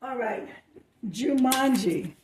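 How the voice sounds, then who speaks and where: A middle-aged woman with a hoarse voice, chatting casually through a thrift and yard sale haul with many fillers and restarts. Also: on the high side, lively intonation, slow, a crowd in the background. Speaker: reseller showing thrift hauls.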